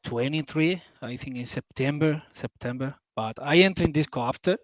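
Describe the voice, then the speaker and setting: A man's voice: mid-range voice, normal speed, dry recording, highs cut off. A man from southern Spain with a Spanish accent, a native Spanish speaker talking as a guest on a community call, searching for English words with eager enthusiasm. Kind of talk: casual call talk.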